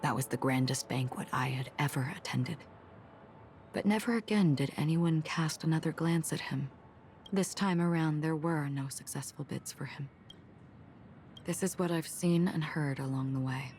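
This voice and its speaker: An actress playing a melancholy, forgetful swordswoman in a game, speaking in a measured, soft and reflective way. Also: deep voice, a little hiss or hum. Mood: solemn, reflective. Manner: measured, solemn, soft-spoken. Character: melancholy, forgetful swordswoman, calm and enigmatic wanderer. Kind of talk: scripted game dialogue, reflective storytelling.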